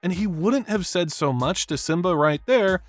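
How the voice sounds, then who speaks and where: A man's voice: sits high, lively intonation, moderate pace. A man delivering scripted narration as the narrator of a video essay.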